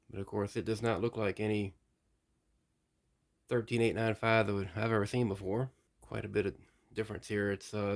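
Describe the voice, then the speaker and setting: Male hobbyist host, lowish pitch, informal hands-on narration with a musing, tentative delivery. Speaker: radio hobbyist video host. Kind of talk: casual unboxing narration.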